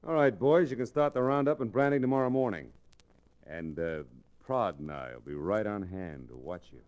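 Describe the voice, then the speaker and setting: An actor playing a wary, smug merchant villain in an old-time radio Western, guarded and skeptical. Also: male voice, lowish voice, animated, moderate pace, a little mellow, close to an everyday voice. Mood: smug, agreeable. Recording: restored vintage radio recording.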